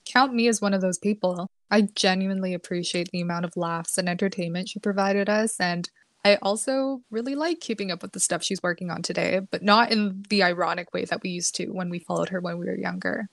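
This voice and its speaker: A woman's voice: on the low side, steady tone, fairly quick, vocal fry, no room sound. Dry-recorded woman co-hosting a podcast. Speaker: podcast co-host. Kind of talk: conversational podcast commentary.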